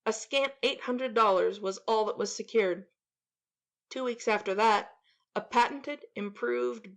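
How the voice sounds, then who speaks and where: A woman reading a classic story aloud as an audiobook narrator, in scripted narration. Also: fairly high pitch.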